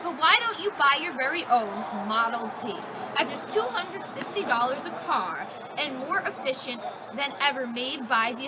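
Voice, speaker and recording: A young woman playing a chipper male car salesman in an amateur skit sales pitch. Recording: echoey room, faint noise.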